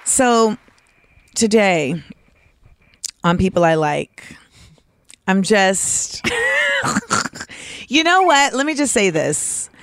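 Deep-voiced woman in her 30s hosting, sarcastic and blunt, smooth clear tone, dry close sound. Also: big pitch swings, drawn-out words, pauses often, fairly bright tone, dry recording. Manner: candid, sarcastic, says "like" often. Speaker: host. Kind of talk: casual commentary.

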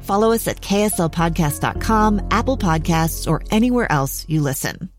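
A woman's voice: lowish voice, lively intonation, normal speed, soft background music. A woman journalist reading scripted narration for a podcast promo.

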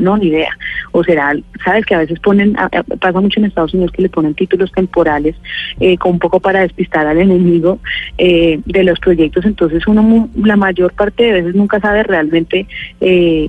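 A Colombian woman speaks over a tinny, phone-like line with a dry sound. She is an actress being interviewed, and she talks at length with frequent fillers. Her pace stays relaxed and thoughtful. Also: fairly low pitch, dry recording. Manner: thoughtful, many fillers and restarts.

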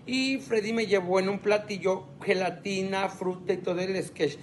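A woman's voice: mid-range voice, steady tone, normal speed, slight background noise. A Mexican woman telling what she ate in a chatty, savoring way, full of little diminutives. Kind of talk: chatty food story.

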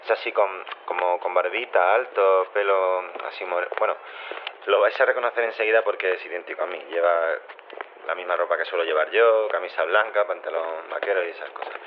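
Audio drama scientist played by a man: deep, halting, awkward, noisy phone-quality voicemail. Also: natural voice. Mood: awkward, hesitant. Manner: halting, flustered. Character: awkward, hesitant male cloning-lab scientist. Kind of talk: acted voicemail announcement. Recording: tinny noisy phone line.

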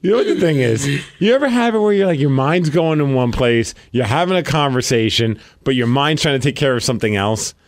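A man in his thirties co-hosting a podcast, bantering in a casual, joking way with quick restarts. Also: medium pitch, lively intonation. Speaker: podcast co-host. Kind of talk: casual chat.

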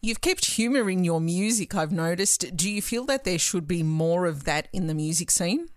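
A woman with a lowish voice asks short, measured interview questions. The recording is dry, with no room sound. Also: animated, no room sound.

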